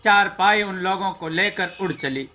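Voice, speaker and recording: A man narrating a scripted folk tale in a measured, declamatory storyteller's way. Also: high voice, bright, forceful tone.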